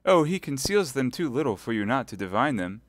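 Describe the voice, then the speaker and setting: A man's voice: mid-range voice, big pitch swings, fairly quick, no room sound, pitched above the performer's own voice, lightly acted. A man reading aloud voices a scheming captive noblewoman who pleads and prays in a tearful, quavering tone. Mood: pleading.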